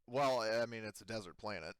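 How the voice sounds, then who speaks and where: Low-voiced, halting male game master. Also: crowd noise. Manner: halting, informal. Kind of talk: improvised tabletop game narration.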